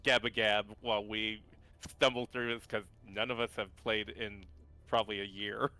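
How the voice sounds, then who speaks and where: A man's voice: lowish voice, little pitch movement, moderate pace, bright, forceful tone, rough recording. A man with a very nasal voice, chatting casually as a guest while playing a game, in a joking, self-deprecating way. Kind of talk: casual chat while gaming.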